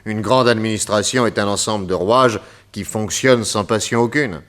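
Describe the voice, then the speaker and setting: A man voicing a shrewd, level-headed detective in an old radio play, with a lowish voice that stays measured as he reasons things through. He sounds unhurried and sure of himself. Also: fairly bright tone, close to an everyday voice. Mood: calm. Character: calm shrewd male detective, middle-aged. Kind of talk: French radio drama acting.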